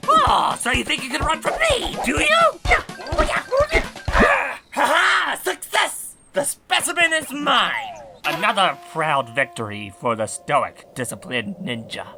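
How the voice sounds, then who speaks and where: A woman's voice: high-pitched, very animated, slow, vocal fry, loud music behind the voice, exaggerated voice. A woman voicing a pompous, self-serious young male ninja in a theatrical, formal cartoon dub style. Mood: triumphant. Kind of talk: animated parody dub acting.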